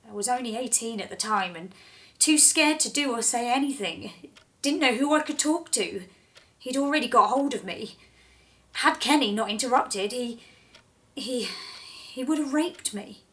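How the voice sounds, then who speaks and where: A woman playing a frightened young woman who haltingly confesses in an audio drama. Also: fairly high pitch, animated, fairly bright tone. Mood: distressed. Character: frightened young woman, former stable worker, confessing abuse.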